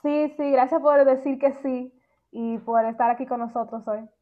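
A woman hosting a podcast who narrates and interviews a guest in a calm, measured way. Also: high voice, no room sound, phone-quality sound. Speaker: podcast host.

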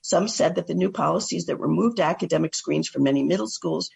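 Hoarse new lady doing a radio news recap, super gravelly, raspy. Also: lowish voice, a little fast, darkish tone. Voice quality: gravelly and husky. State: hoarse from a cold. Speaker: radio show host.

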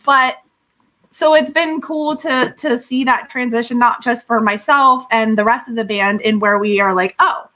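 A woman speaking as an interview guest, casual and informal, with an animated, giggly way of talking. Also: a little high, moderate pace. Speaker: podcast guest, band singer.

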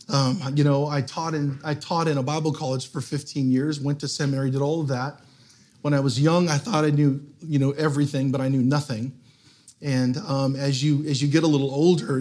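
A middle-aged man, a guest pastor who is losing his voice, preaching a sermon to a live audience in a church, telling funny stories and laughing often. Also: fairly high pitch. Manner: humorous storytelling, laughing often.

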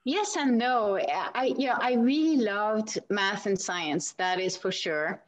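A woman's voice: medium pitch, slow pace. A woman from France with a French accent, chatting as an interview guest and laughing often as she answers. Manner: warm and chatty, laughs often, many restarts.

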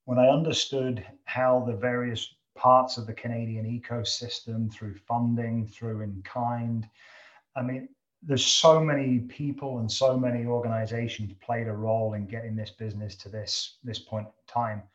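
A man from the UK with a British accent, a company chief executive answering interview questions in a halting way, often restarting and repeating words. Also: medium pitch, flat delivery, lo-fi sound. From United Kingdom. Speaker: company CEO, guest. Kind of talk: business interview.

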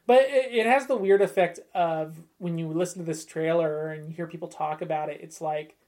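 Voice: mid-range voice, fairly quick, darkish tone. A podcast panelist chatting casually and conversationally while reviewing movie trailers.

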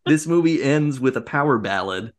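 A man's voice: mid-range voice, lively intonation, moderate pace. A man co-hosting a podcast, chatting casually about a film in a jokey, enthusiastic way. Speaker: podcast co-host.

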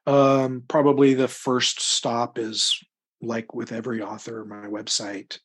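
A man's voice: mid-range voice, little pitch movement, measured pace, fairly bright tone, slightly rough. A middle-aged man, an author being interviewed, talking conversationally and telling anecdotes in a rambling way, often repeating words mid-sentence. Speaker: crime novelist being interviewed.

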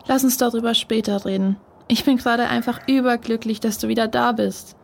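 Brave big sister, very high voice, earnest and caring, children's radio play. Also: female voice, steady tone, brisk, creaky. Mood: tender, relieved. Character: caring, brave, devout older sister, a girl. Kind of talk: children's audio drama acting.

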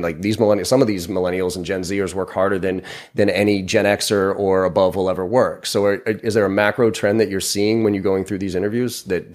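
A man in his forties hosting a casual interview, rambling and swearing freely with self-deprecating banter. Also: low-pitched. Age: 40s. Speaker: podcast host.

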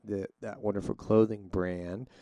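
A man's voice: on the low side, little pitch movement, a little slow, warm tone, no room sound. A man co-hosting a podcast in casual chat, talkative and theatrical, often restarting his sentences. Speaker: podcast co-host.

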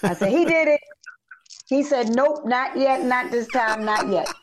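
A middle-aged woman singer in a casual interview, a bit hoarse, telling stories in an animated way with many fillers and restarts. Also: high-pitched, measured pace, slight background noise. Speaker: singer guest. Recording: dull lo-fi audio.

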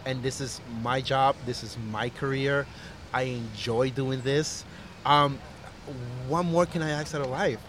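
A man's voice: mid-range voice, steady tone, unhurried, a bit bright, slight background noise. A man who grew up in Costa Rica, a chef talking earnestly and reflectively in a casual interview, with fillers and restarts.

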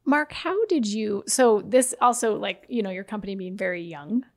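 A woman's voice: medium pitch, lively intonation, moderate pace. A woman co-hosting a casual interview, rambling and rephrasing as she works toward a question. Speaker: podcast co-host.

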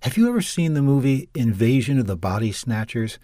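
Man voicing a weary, glum young woman, pitched up. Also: very animated, fairly quick, warm tone, no room sound, clean studio sound, close to an everyday voice. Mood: tired, coaxing. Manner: tired, resigned, unconvincing sweet talk.

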